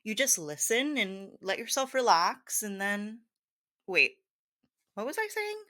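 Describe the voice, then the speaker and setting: A woman playing a confused, ditzy, giggly hypnosis teacher in a soft roleplay, with scattered, hesitant, girlish talk full of fillers. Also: medium pitch, very animated, unhurried. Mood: scattered, bubbly.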